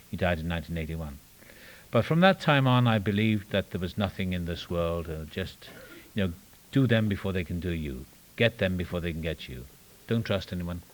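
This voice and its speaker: Older Welsh man, very deep voice. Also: low-quality recording. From Wales. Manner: self-deprecating, wry humor; rambling with restarts and trailing asides. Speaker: recovery meeting speaker. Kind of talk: personal testimony talk. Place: meeting room.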